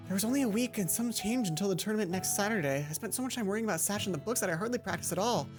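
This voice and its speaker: A woman's voice: mid-range voice, lively intonation, racing. Woman narrating a visual novel over music. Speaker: let's play host. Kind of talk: reading a visual novel aloud with casual asides. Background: game music.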